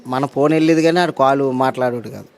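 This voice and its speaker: Middle-aged man, medium pitch, brisk delivery, plain interview account. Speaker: interviewee, bereaved father.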